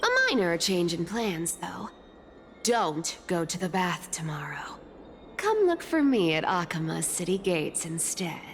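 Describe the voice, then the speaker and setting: A woman's voice: fairly low pitch, expressive, measured pace, slight background noise. An actress playing a sly, carefree young woman thief in a video game, with a teasing, sing-song, breezy delivery. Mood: sly, casual. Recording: background music.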